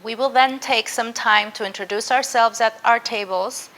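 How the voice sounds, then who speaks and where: Bright-voiced woman teaching a workshop. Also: on the high side, normal speed. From Mexico. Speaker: university professor. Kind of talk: interactive workshop presentation.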